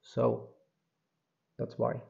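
A man from Sweden with a Swedish accent, speaking in a halting, insistent voice-over monologue full of restarts. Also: mid-range voice, completely flat delivery, gravelly, a little mellow, highs cut off. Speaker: video creator.

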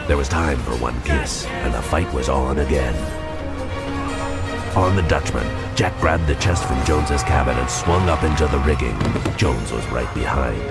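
A man narrating a dramatic scripted adventure story as an audio drama narrator. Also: rumbling low. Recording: loud music under the voice. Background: loud dramatic music.

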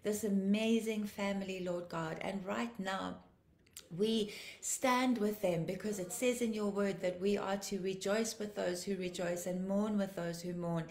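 A woman in her fifties, a pastor, sounding tired and a little croaky, warmly greeting viewers by name and praying fervently on a home live stream. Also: medium pitch, steady tone, unhurried, reverberant. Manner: fervent praying, repeating declarations. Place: living room at home, indoors. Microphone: laptop webcam, video call framing.

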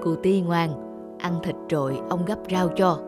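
A woman's voice: on the low side, fairly even intonation, a little slow, lightly acted. A woman voicing a frail, tender old grandfather in a children's cartoon, speaking in an earnest, doting way. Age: older. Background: music.

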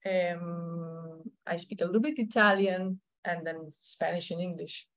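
A woman in her thirties with a Slovenian accent, speaking as a tennis coach in a video-call interview, often pausing and repeating words as she searches for English phrasing. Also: fairly low pitch. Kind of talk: casual interview chat. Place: indoor room at home.

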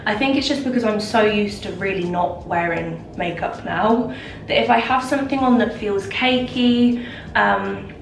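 A woman's voice: medium pitch, measured pace. A young woman vlogging a makeup routine in her bathroom, chatty and gushing, sounding nasal, congested and a bit out of breath. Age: young adult. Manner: chatty, gushing, many fillers. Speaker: lifestyle vlogger. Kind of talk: casual makeup tutorial chat. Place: home bathroom, indoors. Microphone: camera a little way off. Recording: roomy bathroom echo, distant mic, background hiss.

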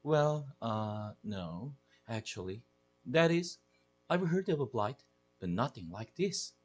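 A mild, bumbling middle-aged father, as a male audiobook reader plays him, hesitates and fumbles for words. His voice is husky and a little breathy. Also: mid-range voice, very animated, slow pace. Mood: hesitant. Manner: hesitant, flustered.

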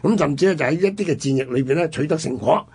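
A man's voice: a little high, lively intonation, racing, darkish tone, breathy edge. A man with a Hong Kong Cantonese accent telling history conversationally as a radio host, in an explanatory manner.

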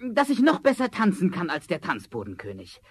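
A poor young charcoal burner in an old fairy-tale audio drama. He sounds naive and eager, declaiming in a fairly high voice with lively swings of pitch. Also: a little fast, husky, heavily acted. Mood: excited, greedy. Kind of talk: vintage German fairy-tale radio play acting.

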